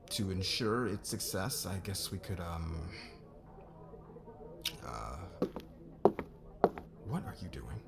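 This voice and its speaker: A man acts out a demanding fashion boss in an ASMR audio drama. His voice sits low, his manner is commanding and persuasive, and clear ambient noise runs behind him. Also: exaggerated voice. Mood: flustered, confident.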